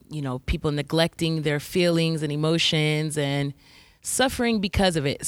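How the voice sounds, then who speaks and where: American female podcast guest, low clean voice, close dry sound, casual delivery. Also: clear, resonant tone, dry recording. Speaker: podcast guest, social worker. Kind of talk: casual self-introduction.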